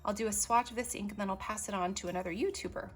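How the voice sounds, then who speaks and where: A woman chatting casually as she shows her desk items, unhurried and full of ums and little asides while she works. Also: medium pitch, slight background noise. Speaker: stationery vlogger. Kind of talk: casual show-and-tell narration.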